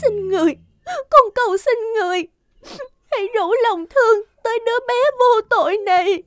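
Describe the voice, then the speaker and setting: A woman's voice: very high voice, animated, moderate pace, no room sound, studio quality. A woman voicing a distraught, tearful young mother pleading humbly for her baby in a cartoon fairy tale. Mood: desperate pleading. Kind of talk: animated fairy tale voice acting. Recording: loud music under the voice.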